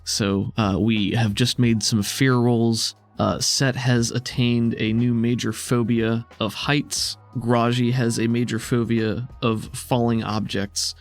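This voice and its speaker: A man's voice: mid-range voice, little pitch movement, slow pace, hardly any pauses, faint music behind. A man acting as game master on a tabletop roleplaying podcast, narrating scenes descriptively and guiding the players.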